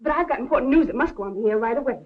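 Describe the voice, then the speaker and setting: An actress playing a pushy, eager young assistant in a 1930s mystery film, chattering insistently. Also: female voice, a little high, expressive, rapid-fire. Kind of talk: 1930s American comedy-mystery film acting. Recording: dull lo-fi 1930s film soundtrack.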